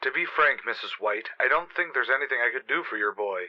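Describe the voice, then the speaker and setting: A man's voice: medium pitch, expressive, fairly quick, highs cut off, natural voice. An actor playing a stern, formal professional man in a vintage radio soap, with a clipped, authoritative manner. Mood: reluctant, firm.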